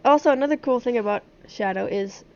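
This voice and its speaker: Giggly high-voiced woman streamer, muffled sound. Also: fast, a little mellow. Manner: giggly, rambling. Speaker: game live streamer. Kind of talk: casual livestream chat while gaming. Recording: dull lo-fi stream microphone.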